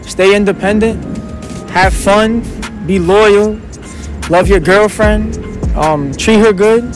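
A man's voice: slow, over music. A man, talking in brash, repetitive slang in a casual street interview. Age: 20s. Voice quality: high-pitched. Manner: slangy, brash, repetitive, animated hand-talker. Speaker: interview guest, rapper and comedian. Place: outdoors in a city park with people around. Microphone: phone held at chest by interviewer.

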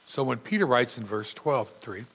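Man, middle-aged, pastor, husky voice, sore throat, earnest sermon, church sanctuary, pulpit mic. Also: medium pitch, lively intonation, moderate pace, fairly bright tone, rough recording. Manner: earnest preaching, reading scripture aloud with emphasis.

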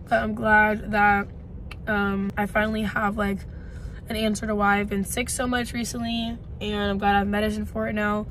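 A woman's voice: on the high side, slight background noise. A woman in her twenties, congested and losing her voice, chatting casually and rambling to a phone camera in a parked car. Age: 20s. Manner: chatty, rambling with many likes. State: congested, voice partly gone. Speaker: vlogger. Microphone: phone camera at arm's length.

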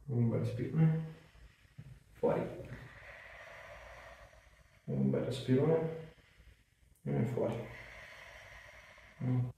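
A man's voice: medium pitch, drawn-out words, vocal fry, long pauses, mellow. A man, giving short, direct instructions and questions as a chiropractor treating a patient. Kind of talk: hands-on clinical instructions and casual chat. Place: small bare treatment room, indoors. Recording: roomy, distant mic, faint noise, clicks.

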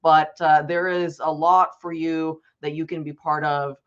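A man's voice: fairly high pitch, little pitch movement, unhurried, a bit bright. A young man from Bulgaria tells students a personal story during an online presentation. He is earnest and conversational and often restarts his sentences. His audio comes through a dull, lo-fi video call line. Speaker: advocacy specialist presenting to students.

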